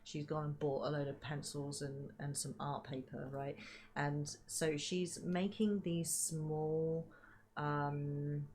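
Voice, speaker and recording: A woman giving a casual tarot reading, chatty with many fillers, her voice a little hoarse as she loses it. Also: sits low, little pitch movement, slow, darkish tone. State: losing her voice. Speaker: tarot reader.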